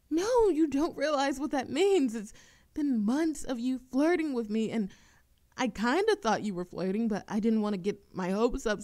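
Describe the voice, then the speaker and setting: Slow-talking, teasing young barista played by a woman. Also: a little high, warm tone. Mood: flustered, disbelieving.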